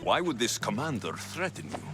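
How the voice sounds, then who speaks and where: A man's voice: medium pitch, very animated, moderate pace, over music. A man playing a determined ancient Greek mercenary in a video game cutscene, blunt and no-nonsense. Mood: earnest. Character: gruff, determined ancient Greek mercenary hero, forthright and protective.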